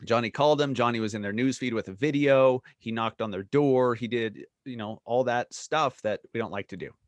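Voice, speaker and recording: A man with a mid-pitched voice hosts a podcast, talking loosely and stumbling through restarts as he thinks aloud. The sound is dry and close. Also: dry recording. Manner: conversational, halting, with restarts. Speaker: podcast host. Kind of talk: podcast interview.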